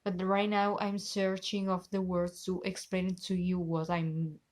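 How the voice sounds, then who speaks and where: A young woman in her twenties with an Italian accent, chatting casually as she reacts to a TV episode, often pausing to search for English words. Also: fairly low pitch, slight background noise. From Italy. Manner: searching for words, many fillers, animated hand gestures. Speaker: reaction channel host. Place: small bedroom, indoors.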